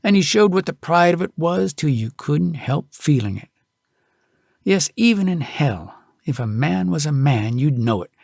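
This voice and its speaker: A man reads a tall tale in a folksy, dramatic storyteller's style. His pitch sits a little high and moves around expressively, with a creaky grain in the voice. The sound is dry and intimate. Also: animated, moderate pace, a little mellow, no echo. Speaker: audiobook narrator.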